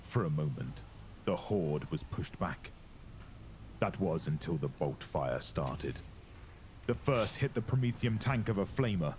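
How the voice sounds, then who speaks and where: A man's voice: deep voice, animated, a little slow, a little mellow, slight background noise. A man narrating a scripted horror audio drama in a dramatic storytelling style.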